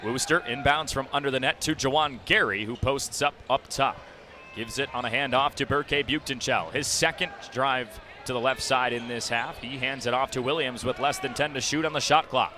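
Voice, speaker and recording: A young man calling live basketball play-by-play on the radio with quick, descriptive calls that can rise to an excited shout. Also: medium pitch. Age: young adult. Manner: rapid descriptive calls, rising to excited shouts on big plays. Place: indoor basketball arena. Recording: noisy arena broadcast with bouncing balls. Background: loud game noise, basketball bounces.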